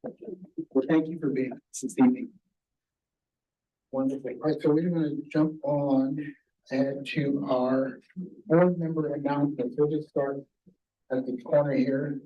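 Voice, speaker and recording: A man chairing a city advisory board meeting, running through procedure with a slightly hoarse voice. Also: medium pitch, steady tone, measured pace, long pauses, lo-fi sound. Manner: procedural, methodical.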